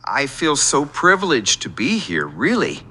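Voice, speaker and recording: A man's voice: mid-range voice, huge pitch swings, unhurried, over music. A man calling in to a radio show, talking conversationally over a noisy connection.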